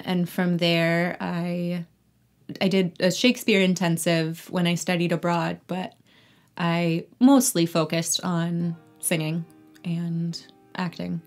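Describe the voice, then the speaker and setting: A young woman speaking casually as an actor and singer being interviewed. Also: fairly low pitch. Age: young adult.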